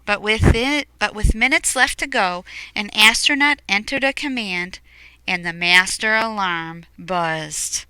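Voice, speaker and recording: A woman reads a children's storybook aloud at an unhurried pace. Her voice is very bright and crisp, recorded close and dry. She is expressive and drops into quieter explanatory asides for young listeners. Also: medium pitch, no echo, low-quality recording. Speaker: picture book reader.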